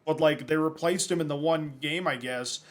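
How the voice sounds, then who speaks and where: A man's voice: on the high side, normal speed. A man co-hosting a casual film podcast, rambling a bit and filling his talk with "like" as he chats about casting. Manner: rambling, many filler likes. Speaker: podcast co-host. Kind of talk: casual chat about films and games.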